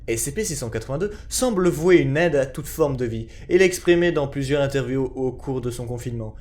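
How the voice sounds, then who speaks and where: Man narrating formal reports, medium pitch. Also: animated, a little fast, darkish tone. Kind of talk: scripted narration of clinical reports.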